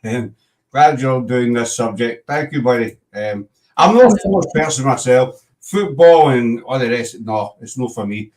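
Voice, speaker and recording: A man's voice: mid-range voice, expressive, measured pace, a little mellow, distant mic, poor audio. A Glaswegian Scottish man hosting a casual livestream chat, rambling and breaking off to greet viewers. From Scotland. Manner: rambling, self-interrupting, chatty with viewers. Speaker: host.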